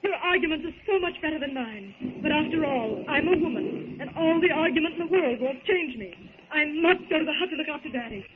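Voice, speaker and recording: A woman's voice: sits high, animated, brisk, phone-quality sound. A refined young heroine, fretful and earnest. Her diction is proper and theatrical, in the manner of 1930s radio drama. The recording is very thin and crackly. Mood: anxious, pleading. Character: anxious, refined young woman, devoted daughter. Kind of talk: 1930s radio serial acting.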